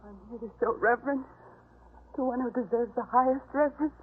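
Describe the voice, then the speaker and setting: An actress playing a distraught, devoted repentant sinner in a 1950s radio drama, speaking slowly with pleading fervor. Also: female voice, on the high side, breathy edge. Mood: fervent devotion. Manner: slow, fervent, pleading. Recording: muffled phone-like 1950s radio recording.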